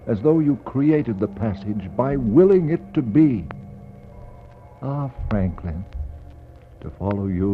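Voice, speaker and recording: Brooding polar explorer, low and solemn. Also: male voice. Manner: solemn, declamatory, dramatic pauses. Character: brooding male polar explorer searching for a lost expedition. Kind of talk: 1960s poetic radio drama monologue. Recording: dull, noisy 1960s radio recording.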